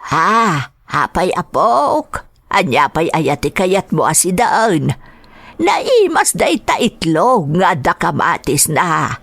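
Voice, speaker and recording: Old Ilocano grandmother, unhurried, lively intonation, caring, homely, radio drama. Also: lowish voice, creaky, no room sound, clean studio sound, heavily acted. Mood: caring, coaxing. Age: older. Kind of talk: Filipino radio drama acting.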